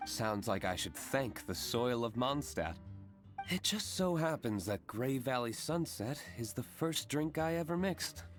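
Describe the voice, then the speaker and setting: An actor playing a composed, courteous young gentleman tavern owner in a video game, speaking in a measured, understated way. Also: mid-range voice, big pitch swings, faint music behind. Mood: reflective. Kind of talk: game character acting.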